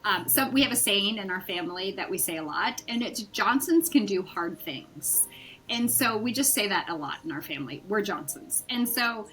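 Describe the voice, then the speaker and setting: A woman's voice: mid-range voice, expressive, normal speed, fairly bright tone, faint music behind, poor audio. A middle-aged woman, a pilot's mother, talking as a guest in a casual chat, encouraging and reassuring.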